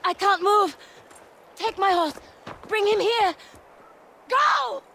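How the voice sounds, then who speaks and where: An actress playing a distressed colonial wife in labor in a video game, straining and panicked. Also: female voice, very high voice, lively intonation, slow pace, pushed and bright, a little hiss or hum, heavily acted. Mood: panicked, pained.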